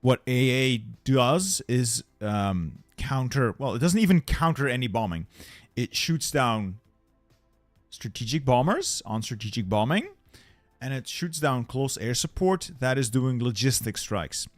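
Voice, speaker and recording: A man's voice: mid-range voice, expressive, measured pace, no echo, soft background music. A young Dutch man narrating his strategy game play, muttering and swearing in frustration as things go wrong. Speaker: gaming YouTuber.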